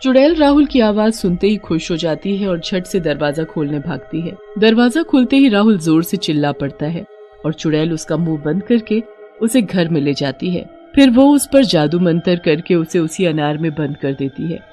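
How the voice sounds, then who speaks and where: Cartoon horror story narrator, scripted read, mid pitch, music behind. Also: lively intonation, moderate pace, dark tone.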